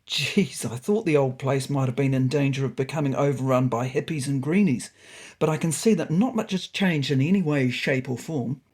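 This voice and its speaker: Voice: medium pitch, normal speed, a little mellow, husky, lightly acted. A narrator voicing a confident, opinionated male city cat from a storybook, chatty and salesman-like. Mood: brash, opinionated. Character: brash, opinionated, smooth-talking male visiting city cat.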